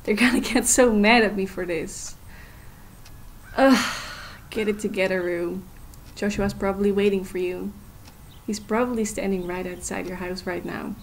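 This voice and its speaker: A woman's voice: mid-range voice, fairly even intonation, normal speed, slight background noise, close to an everyday voice. An actress playing a hurt but determined young woman in an audio drama, confiding and emotional. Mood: anxious, reflective. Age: young adult.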